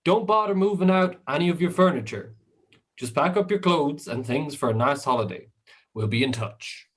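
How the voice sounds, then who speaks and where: A man in an amateur radio drama playing a smooth-talking, businesslike Hollywood film director. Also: a little high, lively intonation, normal speed, background noise, natural voice. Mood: smooth, persuasive. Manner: businesslike, persuasive.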